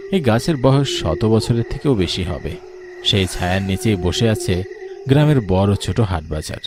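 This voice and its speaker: A man's voice: fairly low pitch, expressive, normal speed, noisy background. A man reads a scripted ghost story as its narrator.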